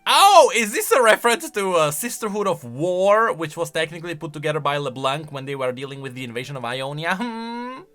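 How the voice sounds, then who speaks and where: A man's voice: on the high side, big pitch swings, a little fast, hardly any pauses, a bit bright, soft background music. A man giving casual, conversational reaction commentary on video game lore, musing aloud as he goes.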